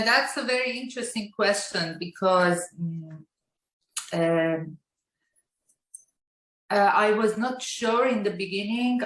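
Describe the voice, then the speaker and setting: Woman from iran chatting on a zoom call, lowish voice, roomy echo, lots of ums. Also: fairly bright tone. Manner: hesitant, many fillers, non-native phrasing. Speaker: interview guest, artist. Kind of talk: casual video-call conversation. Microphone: video call. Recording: echoey room on a video call.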